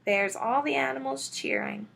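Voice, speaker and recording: A woman teacher reading a children's book aloud, expressive and stopping to point out the pictures. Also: high voice, normal speed, creaky, slight background noise, low-quality recording. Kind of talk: children's read-aloud storytelling.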